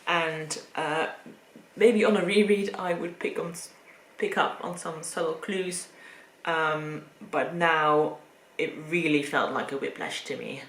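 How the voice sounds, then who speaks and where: A woman speaking English, casually reviewing books in a thoughtful, hesitant way with frequent pauses. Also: low voice, a bit bright. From Netherlands. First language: Dutch. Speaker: book reviewer. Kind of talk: casual book review vlog. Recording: roomy echo, faint background noise.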